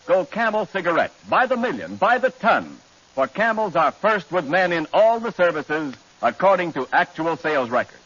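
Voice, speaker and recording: A man's voice: fairly high pitch, fairly bright tone, husky. A man announcing a scripted 1940s radio advertisement in a polished, emphatic way. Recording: muffled 1940s radio broadcast.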